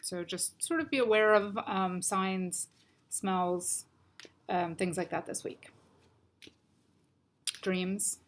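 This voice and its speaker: A woman giving a casual weekly crystal and oracle card reading, her voice a little stuffy and scratchy from allergies, speaking gently with frequent ums. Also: medium pitch, takes it slowly, pauses often. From United States.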